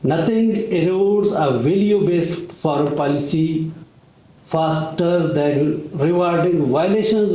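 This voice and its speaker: Slow, deliberate man in echoey hall. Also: on the high side, dark tone. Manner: measured, deliberate delivery with long pauses. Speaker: Baloch activist speaker. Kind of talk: formal prepared speech. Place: conference room in parliament. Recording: echoey conference room.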